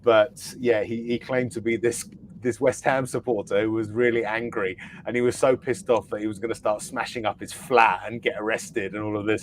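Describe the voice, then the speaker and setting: A man's voice: medium pitch, slight background noise, poor audio. A man on a fan podcast panel, chatting casually, teasing and telling rambling stories. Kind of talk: casual panel chat with banter.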